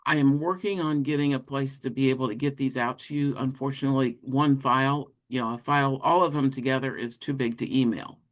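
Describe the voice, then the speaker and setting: A man giving an informal walkthrough of slides on a work call, speaking haltingly and often correcting himself. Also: medium pitch, fairly bright tone. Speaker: presenter on an educators' call.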